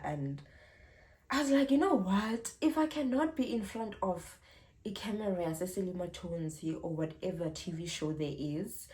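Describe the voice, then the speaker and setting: A young South African woman in her twenties, chatting casually to camera and answering viewer questions with frequent giggles. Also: on the low side. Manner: chatty and giggly, drawn-out pauses. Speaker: YouTuber. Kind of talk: casual Q&A vlog.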